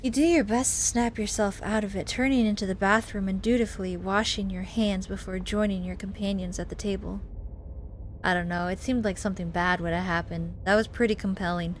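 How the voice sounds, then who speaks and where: A woman's voice: medium pitch, steady tone, fairly quick, slight background noise. A woman doing let's play commentary, reading a visual novel aloud and reacting expressively. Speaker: gaming video host. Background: game music.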